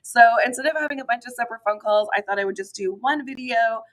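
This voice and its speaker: A woman hosting a local chamber morning show, hoarse from a head cold, talking casually with lots of ums and you-knows. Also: fairly high pitch, quick pace, a bit bright, rough recording. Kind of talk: casual solo update to camera.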